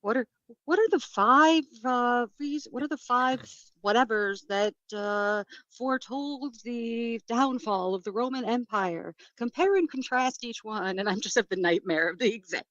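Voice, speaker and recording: A woman's voice: on the high side, unhurried, hardly any pauses, fairly bright tone, no echo, dull audio. A woman in her forties on a podcast panel, chatting and laughing easily. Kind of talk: casual podcast chat.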